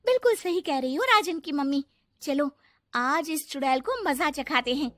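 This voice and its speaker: A woman's voice: sits high, expressive, normal speed, fairly bright tone, dry recording, clean studio sound, pitched above the performer's own voice. A woman voicing an anxious but feisty village mother in a children's cartoon, urgent and exclaiming. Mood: indignant, determined.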